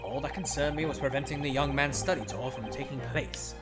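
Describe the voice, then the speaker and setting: A streamer voicing an eccentric, pompous male detective in a put-on British accent, low and drawling, over game music. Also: brisk, close to an everyday voice. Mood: smug, explaining.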